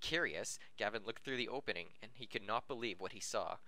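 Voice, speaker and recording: A man's voice: fairly low pitch, lively intonation, brisk, pushed and bright. A man reading a story aloud as an amateur podcast host, giggly and tripping over words. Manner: stumbles over the text, giggly.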